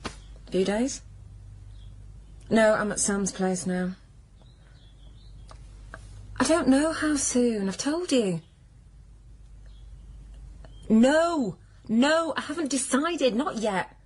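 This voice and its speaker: A woman's voice: a little high, expressive, very slow, pauses often, slightly rough, heavily acted. A woman playing an anxious, stubborn young woman in a naturalistic radio drama. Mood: upset, pleading. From northern England.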